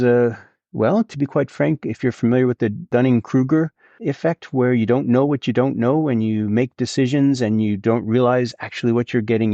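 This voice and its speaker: A middle-aged man with a Swedish accent, a guest in a conversational interview, explaining in a measured way with some fillers. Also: mid-range voice, darkish tone, no room sound. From Sweden. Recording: dull, slightly lo-fi sound.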